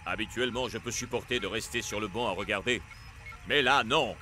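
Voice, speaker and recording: A stern, gruff team coach from a cartoon, voiced by a man. His voice sits fairly low and firm, with music playing underneath. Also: brisk, close to an everyday voice. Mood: frustrated. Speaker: football coach. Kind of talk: French cartoon dub. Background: cartoon score.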